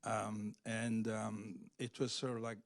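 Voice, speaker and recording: A man's voice: on the low side, level tone, slow pace, vocal fry. Man thinking aloud in a public discussion. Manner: hesitant, searching, often says "in fact" and "right". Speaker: speaker discussing a poetry translation. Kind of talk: public discussion after a reading. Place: public event room at a library.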